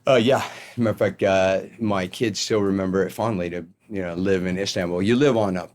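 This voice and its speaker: Deep-voiced middle-aged American man. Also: steady tone, a little fast, a little mellow. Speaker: podcast guest, retired army colonel. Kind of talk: conversational storytelling interview.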